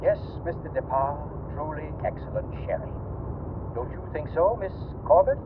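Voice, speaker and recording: An actor playing an urbane, condescending older author turned detective in a vintage 1940s radio drama, speaking with theatrical deliberation. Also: male voice, fairly high pitch, animated, normal speed, far from the mic, natural voice. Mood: smugly deductive. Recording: tinny, noisy vintage radio through car speakers. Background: car ambience.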